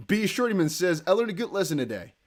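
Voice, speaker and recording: A young man with a Dutch accent, hosting a crypto livestream in casual chat, reading viewer comments aloud. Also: sits high. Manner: chatty, animated, reads viewer comments aloud with quick laughs.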